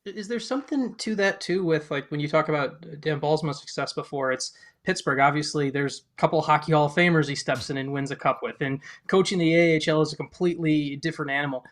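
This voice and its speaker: Male podcast co-host. Pitch a bit above average, casual conversational sports talk. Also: fast talker, hardly any pauses.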